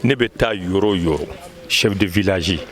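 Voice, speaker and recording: Grateful low-voiced man from Gao. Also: measured pace, fairly bright tone. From Gao, Mali. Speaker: departing education director. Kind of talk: farewell speech.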